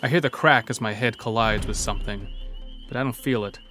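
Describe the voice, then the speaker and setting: A man's voice: mid-range voice, a little fast, close to an everyday voice. A man acting as a hunted logger narrating an audio horror drama in a confessional, wry way. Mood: tense. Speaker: narrator and protagonist. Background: music.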